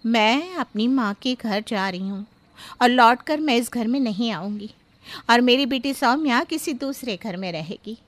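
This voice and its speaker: A woman's voice: fairly high pitch, expressive, unhurried, dry recording. A woman voicing an earnest young mother who stands up firmly for her daughter. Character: young mother, hurt but determined wife.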